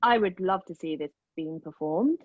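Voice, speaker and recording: A woman giving casual, appreciative feedback as a cast member, speaking in halting phrases. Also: fairly low pitch, no room sound, narrow, tinny audio. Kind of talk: casual discussion.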